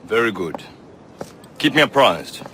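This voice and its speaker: A man's voice: on the low side, big pitch swings, a little slow, bright, forceful tone, slight background noise, full character voice. A man playing a stern, authoritative mentor of a secret order in a video game, formal and commanding. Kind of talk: dramatic video game acting.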